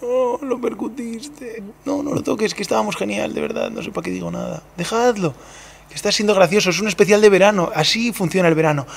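A man's voice: sits high, a little fast, creaky, a little hiss or hum. A man co-hosting a podcast outdoors, bantering in a joking, mock-dramatic way. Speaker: podcast co-host. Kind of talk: casual banter. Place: outdoor terrace by a brick wall.

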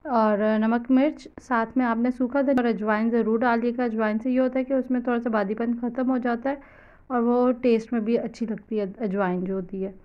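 A woman's voice: fairly high pitch, dull audio. Chatty Pakistani woman, kitchen voice-over. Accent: Pakistani Urdu. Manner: chatty, loose and explanatory, mixing in English words. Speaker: cooking vlogger. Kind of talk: casual cooking voice-over. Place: home kitchen.

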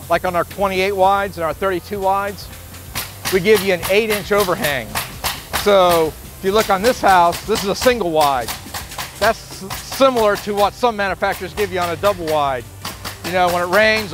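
A man in his fifties giving an informal, plain-spoken walkthrough as a sales director on a factory floor. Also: sits high. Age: 50s. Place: large indoor factory floor.

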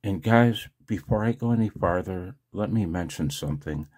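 A man's voice: deep voice, normal speed, a little mellow. A man talking casually and self-deprecatingly to his viewers in a rambling monologue, as host of a video channel. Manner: self-deprecating, chuckles often.

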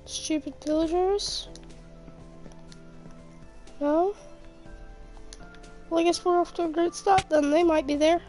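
A woman with a croaky voice from being sick, casually commenting on her gameplay, muttering to herself and mock-frustrated. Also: very high-pitched, fairly even intonation, slow, pauses often, warm tone, soft background music. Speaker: gaming youtuber.

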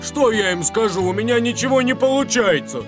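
A man's voice: high voice, expressive, a little fast. A man playing a timid, self-doubting but good-hearted road roller in a Russian cartoon dub, hesitant and plaintive. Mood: dejected. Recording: music behind.

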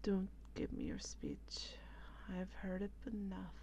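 A woman playing a world-weary, secretive female vampire in an ASMR role play, speaking in a hushed, deliberate, breathy whisper. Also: medium pitch, huge pitch swings, slight background noise, full character voice. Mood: melancholy, wry. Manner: slow, hushed, intense and deliberate.

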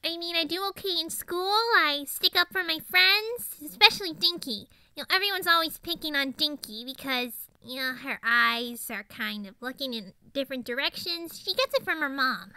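A woman's voice: sits high, lively intonation, normal speed, hardly any pauses, sharp tone, no echo, full character voice, rough recording. A woman voicing a zany, boastful ten-year-old girl pony in a cartoon monologue, chatty and rambling with melodramatic emphasis. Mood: earnest, proud. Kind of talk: improvised cartoon character monologue.

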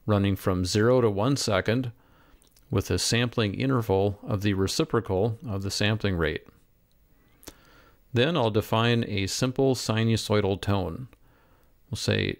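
A man narrating a software tutorial as an instructor, in a measured, step-by-step way. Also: fairly low pitch, no room sound, studio quality. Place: close, dry voice-over for screen recording.

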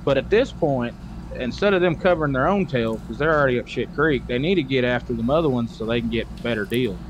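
A man's voice: medium pitch, lively intonation, quick pace. A man playing a police role-play game, talking casually and bluntly in a folksy way over voice chat. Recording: dull lo-fi voice chat, vehicle noise.

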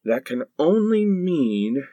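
A man's voice: a little high, big pitch swings, takes it slowly, dull audio, heavy background noise. A man playing a sarcastic, exasperated teenage boy in a comic radio play. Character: sarcastic teenage boy in nineties sitcom parody.

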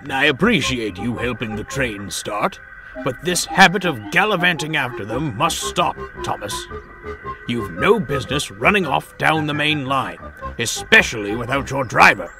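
A man voicing a stern but kindly older railway boss in a children's storybook, formal and measured. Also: medium pitch, sing-song, music in the background.

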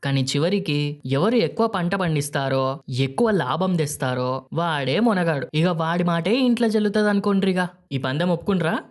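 A man's voice: fairly high pitch, lively intonation, normal speed, natural voice. A man voicing an ageing farmer father with a Telangana accent, firm and affectionate, admonishing his sons in a moral story. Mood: challenging. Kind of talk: acted dialogue in a moral story.

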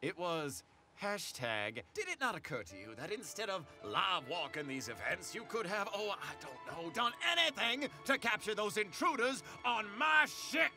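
An actor playing a smug, imperious young boss with a pompous, sarcastic manner in a cartoon parody. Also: male voice, high-pitched, big pitch swings, normal speed, sharp tone, soft background music, full character voice.

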